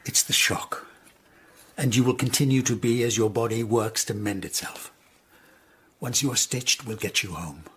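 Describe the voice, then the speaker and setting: A man acting in a radio drama as a calm, caring Tudor physician. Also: lowish voice, moderate pace, slightly rough. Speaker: supporting actor in radio drama.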